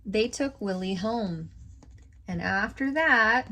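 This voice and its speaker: A woman with a mid-range voice reads a children's story aloud like a kindergarten teacher. Her delivery is expressive and informal, slipping between storytelling and casual asides. Faint noise sits in the recording. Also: medium pitch, takes it slowly.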